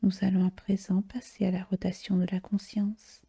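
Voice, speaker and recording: A woman guiding a relaxation meditation in a soft, whispery, breathy voice, slow and soothing, with long pauses. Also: mid-range voice, completely flat delivery. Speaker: yoga nidra relaxation guide. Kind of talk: guided meditation.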